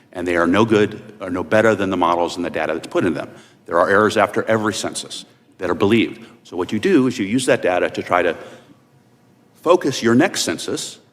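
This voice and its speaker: A man giving formal testimony as a hearing witness, setting out his points emphatically and deliberately. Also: sits low. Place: large indoor hearing room. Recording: reverberant hearing room.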